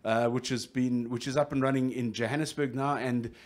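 A middle-aged man with a South African accent hosting a radio interview, jokey and lively, often restarting his sentences. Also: mid-range voice, fairly quick. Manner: jokey, lively, restarts a lot, plugs the station. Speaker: radio host.

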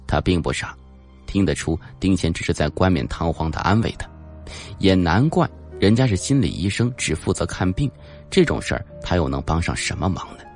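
A man narrating a suspense novel as an audiobook, with a dramatic, deliberate storytelling delivery. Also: sits low, lively intonation, darkish tone, faint music behind.

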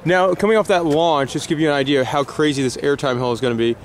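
A man's voice: fairly high pitch, fast, a little hiss or hum. A man hosting a theme park show, talking enthusiastically on location outdoors. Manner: enthusiastic, upbeat. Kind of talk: casual on-location chat.

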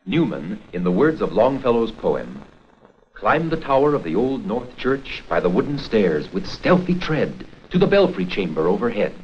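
A man reading scripted documentary narration for an educational history film in a formal, measured storytelling manner. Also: fairly low pitch, sing-song, a little mellow, slight background noise. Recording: dull 16mm film soundtrack with music.

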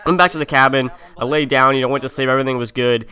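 A young man with a medium-pitched voice narrates a personal story as a streamer would. His delivery is informal and full of slang, and he slips into quoted dialogue. He speaks close to the mic in an indoor room. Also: fairly even intonation, racing, pushed and bright. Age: young adult. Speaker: streamer telling a story over gameplay. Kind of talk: casual storytelling. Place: indoor room at a gaming setup.

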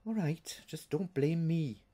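A man's voice: fairly high pitch, slow pace, mellow, pitched above the performer's own voice. A man voicing a haughty, sulky witch woman who talks in an indignant, fretful way in a storybook reading. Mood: sulky, reluctant.

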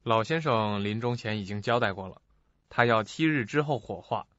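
An actor playing a polite, matter-of-fact lawyer in a radio drama, courteous, measured and formal. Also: male voice, lowish voice, animated, fairly bright tone, dry recording. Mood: firm, calm. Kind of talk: Mandarin radio drama acting. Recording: dull lo-fi recording.

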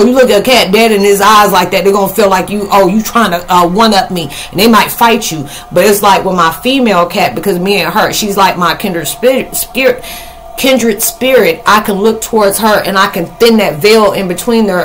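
Casting: middle-aged American woman, mid-pitched voice, informal spiritual vlogger who talks in loose, wandering asides. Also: slight background noise, low-quality recording. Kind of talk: casual rambling monologue to camera. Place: living room, indoors.

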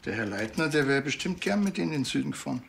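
An actor playing a dry, sardonic village policeman with a Bavarian accent and a laconic, deadpan delivery, in a TV crime comedy. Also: medium pitch, racing, vocal fry, background noise. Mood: probing. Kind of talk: naturalistic TV crime comedy acting.

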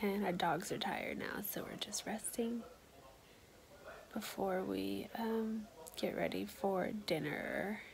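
A woman's voice: mid-range voice, takes it slowly, vocal fry. A young American woman in a casual, slangy video diary, talking into a phone at arm's length. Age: young adult. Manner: slangy, chatty. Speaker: travel vlogger.

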